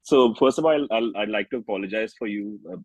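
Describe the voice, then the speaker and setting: A man's voice: lowish voice, brisk, dry recording, rough recording. A man in his early thirties with an Indian accent, chatting informally as a singer-songwriter in an interview. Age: early 30s. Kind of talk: casual interview chat.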